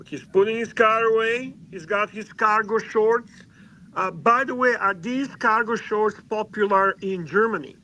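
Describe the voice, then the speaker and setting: Male co-host from Serbia, native Serbian speaker, high voice, casual teasing banter with swearing. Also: measured pace, bright, forceful tone, a little hiss or hum, low-quality recording. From Serbia, Belgrade.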